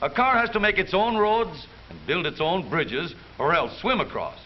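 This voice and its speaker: A man's voice: high-pitched, expressive, normal speed. An American man narrating a 1930s newsreel from a script, with a jaunty, theatrical, wisecracking delivery. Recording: muffled, hissy 1930s newsreel soundtrack.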